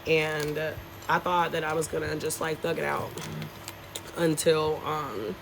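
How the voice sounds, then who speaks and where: A woman's voice: deep voice, level tone, a little slow, vocal fry. Young woman, Southern accent, casual live-stream chat, phone mic, outdoor patio, faint noise. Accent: Southern American. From North Carolina, USA. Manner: chatty, greets viewers, Southern slang. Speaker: travel vlogger live-streaming.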